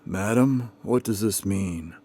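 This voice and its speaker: A man playing a calm, reasonable father figure in a radio drama, measured and polite. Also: fairly low pitch. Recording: clear background noise, clicks.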